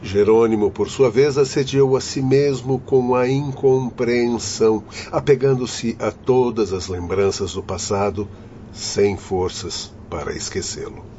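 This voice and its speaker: A man acting a firm, patient doctor in a radio drama, speaking in a measured, formal, instructive way. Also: medium pitch, fairly even intonation, mellow, close to an everyday voice. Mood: admonishing. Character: firm, patient doctor guiding troubled spirits. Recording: dull, noisy lo-fi audio.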